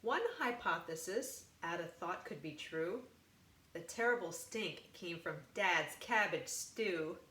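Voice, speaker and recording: A woman reading a rhyming children's picture book aloud, expressively, with deliberate, emphatic phrasing. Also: on the low side, pushed and bright. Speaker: volunteer storybook reader. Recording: roomy, echoing room sound.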